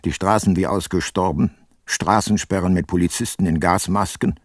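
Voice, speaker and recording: An actor playing a sceptical private detective in a German radio crime drama, speaking in a dry, laconic, understated way. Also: male voice, low-pitched, steady tone, normal speed, no echo. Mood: sober, reflective. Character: dry, sceptical private detective, male, narrating his case.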